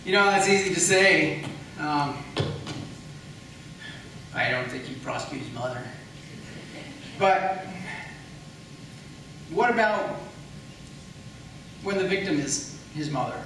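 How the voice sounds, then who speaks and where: Higher-voiced man rebutting in echoey room. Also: lively intonation, takes it slowly, long pauses. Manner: argumentative, explanatory, poses questions. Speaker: candidate for county attorney. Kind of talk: political debate rebuttal. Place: large echoey room at a public debate. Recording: echoey room, distant mic, faint noise.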